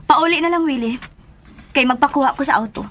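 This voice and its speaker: Bossy peacekeeping mother, very high voice, firm, Filipino radio drama. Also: a little fast, fairly bright tone, a little hiss or hum.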